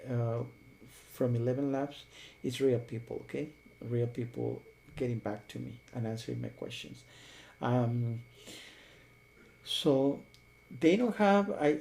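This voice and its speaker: A man talking casually and unscripted as a software reviewer, rambling and often checking in with the listener. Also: mid-range voice, creaky. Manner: rambling, repeatedly checks with "okay?" and "you know".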